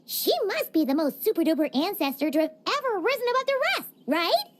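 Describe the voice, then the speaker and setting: A woman voicing a tiny, excitable female fairy-like companion in a game cutscene, over game music. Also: very high voice, a little fast. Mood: chatty. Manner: bubbly, excitable. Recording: game music playing underneath.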